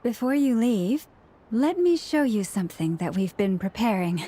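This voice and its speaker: Composed, courteous young priestess. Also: female voice, medium pitch. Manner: calm, formal, measured. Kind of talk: video game voice acting.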